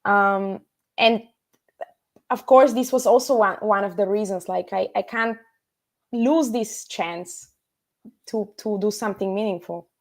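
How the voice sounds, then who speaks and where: A young woman from Bulgaria answering casually as an interview guest, reflective and earnest, with hesitant pauses. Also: mid-range voice. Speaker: interview guest, startup founder.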